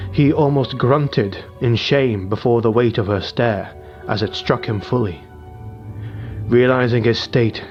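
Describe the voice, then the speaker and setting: A man narrating a dark fantasy audiobook in a measured, somber storytelling voice. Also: mid-range voice, muffled recording.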